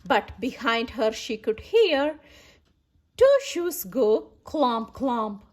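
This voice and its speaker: A woman hosts a children's read-aloud in a high voice. Her intonation moves up and down a lot, and she takes her time, stressing repeated words. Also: big pitch swings, extremely slow. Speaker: children's story reader and host.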